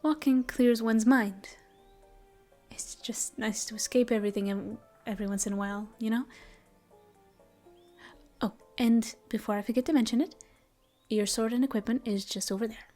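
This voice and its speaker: Casting: soothing elf ranger woman for a fantasy comfort audio drama, soft whispery delivery, slightly high voice, a woman playing her. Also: fairly even intonation, moderate pace, pauses often, soft background music, lightly acted. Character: gentle female elf ranger, caring and shy. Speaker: voice actress. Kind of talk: audio roleplay, comfort ASMR.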